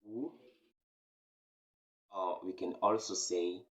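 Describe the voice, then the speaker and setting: A man from Cameroon teaches beginner French with a very low, deep voice. He speaks slowly and deliberately. He repeats each key phrase several times for emphasis. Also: steady tone. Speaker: French teacher, channel host. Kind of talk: slow, repetitive beginner language lesson.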